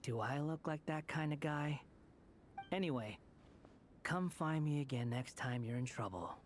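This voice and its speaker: A woman's voice: sits low, animated, unhurried, noisy background. A woman voicing an aloof, dismissive, reluctantly loyal young loner in a video game. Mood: dry, aloof. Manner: dismissive, cool.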